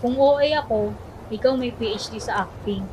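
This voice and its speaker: A woman's voice: a little high, normal speed, muffled recording, natural voice. A woman playing a young female student in radio drama dialogue, recorded with noisy ambience behind her. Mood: sarcastic. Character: young female student, bickering sharp-tongued classmate.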